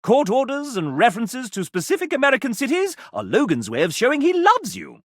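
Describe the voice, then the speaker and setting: A man voicing a sassy, arch gentleman who narrates a dating video game in a theatrical, mock-genteel and sardonic manner. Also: very high voice, huge pitch swings, fairly quick, no echo, studio quality, heavily acted. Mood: sassy, wry.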